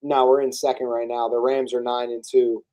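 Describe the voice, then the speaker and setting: A young man with a very dark, mellow voice co-hosts a sports podcast. He talks loosely and casually, trips over fillers and restarts his sentences as he works through his points. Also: medium pitch, flat delivery, moderate pace, highs cut off. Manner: hesitant, many restarts and fillers. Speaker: podcast co-host.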